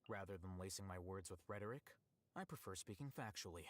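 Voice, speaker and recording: An actor playing an aloof, bookish young male scholar in a video game, speaking in a measured, precise, deadpan way. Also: sits low. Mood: aloof, matter-of-fact. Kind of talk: scripted video game voice lines.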